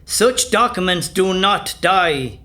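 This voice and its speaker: An actor as the earnest narrator of a historical audio drama, reading from a script. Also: male voice, sits high, expressive, slow, pushed and bright, heavily acted. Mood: impassioned.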